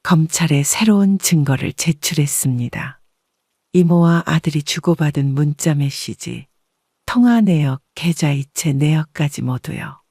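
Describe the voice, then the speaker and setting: A woman reading a first-person story as an audiobook narrator in a measured, composed way. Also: low-pitched, warm tone, no echo, clean studio sound.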